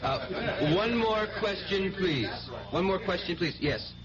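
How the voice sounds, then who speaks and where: A man's voice: high voice, lively intonation, slow pace, a crowd in the background. An actor playing an earnest, devout young man who believes in his divine powers, in a 1970s radio drama. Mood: formal showman. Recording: noisy, muffled 1970s radio broadcast recording.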